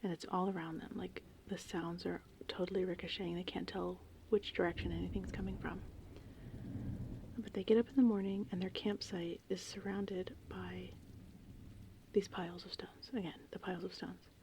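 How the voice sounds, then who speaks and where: A woman host speaks in a hushed, breathy ASMR whisper with a mellow, dark tone. She rambles casually, as if retelling a story in conversation. Gentle rain and thunder sit faintly behind her voice. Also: fairly low pitch, little pitch movement, normal speed. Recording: faint background noise, rain and thunder ambience. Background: gentle rain and thunderstorm ambience.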